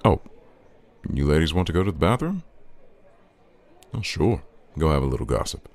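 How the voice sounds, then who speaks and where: An actor playing a suave, teasing, flirtatious man in an audio roleplay, smooth-talking and informal. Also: a bass voice, big pitch swings, moderate pace, darkish tone, slight background noise. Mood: genial, sociable.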